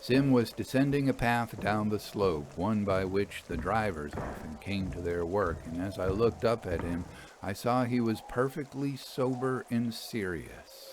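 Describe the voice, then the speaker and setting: A man narrating a literary ghost story aloud with measured, suspenseful and expressive phrasing. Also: on the low side, background noise. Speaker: storyteller reading fiction aloud.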